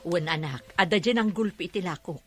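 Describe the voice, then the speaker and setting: A woman with an Ilocano accent playing a caring, anxious market-vendor mother in a radio drama, soothing and expressive. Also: lowish voice, fairly quick, lightly acted. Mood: tired, worried.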